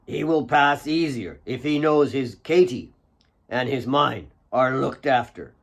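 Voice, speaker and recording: Guy doing a grave old man in an audiobook, slow n earnest, caring mentor type. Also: medium pitch, vocal fry.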